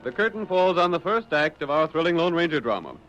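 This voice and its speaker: A man's voice: a little high, very animated, fast talker, a bit bright, faint music behind. A man narrating as announcer of a vintage radio adventure drama, in a dramatic, scripted style. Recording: muffled old radio recording.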